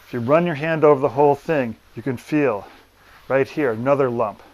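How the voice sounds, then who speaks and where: A man with a mid-range voice walks through a repair job in a room of a house. He explains each step plainly and practically, pausing deliberately as he goes. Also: mid-range voice, a little mellow, rough recording. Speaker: plaster repair business owner and presenter. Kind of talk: hands-on walkthrough explanation to camera. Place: room inside an old house.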